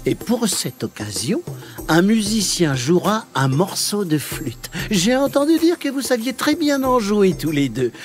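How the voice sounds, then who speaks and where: High-pitched, pompous cartoon sultan. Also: male voice, very animated, unhurried, soft background music. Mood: stately. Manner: theatrical, exclamatory. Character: pompous, vain, childishly excitable sultan. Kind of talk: French children's cartoon voice acting.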